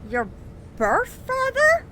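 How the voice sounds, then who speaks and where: A woman's voice: very high voice, huge pitch swings, slow pace, exaggerated voice. A woman voicing a tiny, cheeky, mischievous female pixie in an audio drama. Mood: surprised. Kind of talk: audio drama character acting. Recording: very noisy recording.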